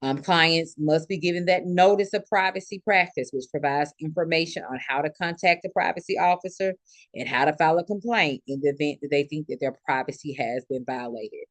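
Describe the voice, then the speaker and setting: An instructor with a mid-pitched voice delivers an informal classroom-style lecture. The delivery is explanatory, circling back to restate key points in other words. The sound is dry, with very little room echo. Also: fairly quick, fairly bright tone. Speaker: instructor lecturing healthcare students.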